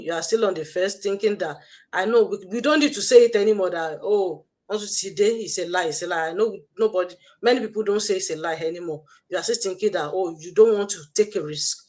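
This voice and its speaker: Woman, Nigerian accent, livestream host, motivational talk, exhorting and repetitive. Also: medium pitch. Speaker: host, online business mentor.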